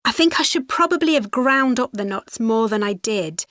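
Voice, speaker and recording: Female university student, scripted study discussion, matter-of-fact, highish voice, close dry sound. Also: animated, normal speed, fairly bright tone, no echo. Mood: studious, matter-of-fact. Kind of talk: scripted educational dialogue.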